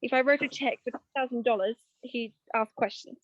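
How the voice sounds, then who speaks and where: A woman plays a well-to-do wife in a 1940s radio mystery. Her high voice is genteel but flustered and hesitant, evasive and anxious, recorded close and dry. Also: fairly even intonation, a little fast, no room sound, lo-fi sound, close to an everyday voice. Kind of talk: 1940s radio detective drama acting.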